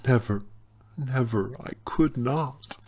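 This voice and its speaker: A man's voice: medium pitch, takes it slowly, a little mellow, husky, pitched above the performer's own voice. A man voicing a scheming, seductive woman prisoner who pleads in a theatrical manner, in a storybook reading. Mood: modest distress.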